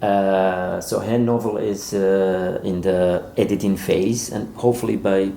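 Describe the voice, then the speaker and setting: A man being interviewed as an author, speaking haltingly and repeating words as he searches for phrasing. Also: low-pitched, fairly even intonation, gravelly, mellow. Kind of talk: interview conversation. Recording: roomy echo, faint background noise.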